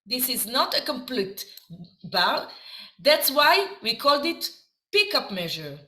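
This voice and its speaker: Slow Israeli woman teacher, echoey room. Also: high voice, sing-song, sharp tone. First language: Hebrew. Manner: slow, halting explanations with counting aloud. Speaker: dance teacher. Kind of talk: teaching a dance workshop.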